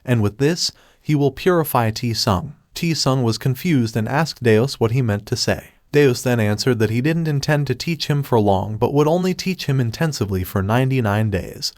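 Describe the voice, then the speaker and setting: A man's voice: medium pitch, expressive, normal speed, hardly any pauses, a little mellow, no room sound, studio quality. A man reading a scripted story recap as a narrator, voicing quoted lines in his own narrating voice.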